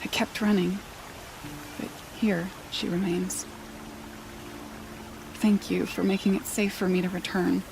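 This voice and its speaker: A woman's voice: on the low side, flat delivery, unhurried, vocal fry, pauses often, background noise. A woman playing a mourning tribeswoman in a video game, speaking solemnly and poetically. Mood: solemn grief. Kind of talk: video game dramatic dialogue.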